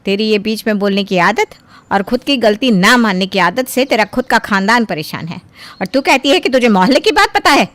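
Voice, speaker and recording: A woman playing an angry, sharp-tongued older neighbour who confronts people in a cartoon story. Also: medium pitch, animated, a little fast, dry recording, lightly acted. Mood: confrontational anger. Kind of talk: cartoon voice acting.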